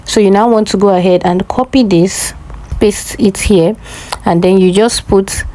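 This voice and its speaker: A woman's voice: mid-range voice, fairly even intonation, unhurried, dark tone, slight background noise, rough recording. A woman presenting a casual step-by-step tutorial, instructing and often checking that the listener follows.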